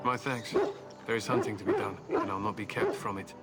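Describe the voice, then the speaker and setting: An actor playing a grim, proud warrior who fights alongside hounds in a video game, speaking in solemn declarations. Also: male voice, fairly low pitch, huge pitch swings, brisk. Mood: grim resolve. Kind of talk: scripted video game character dialogue. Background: loud game music.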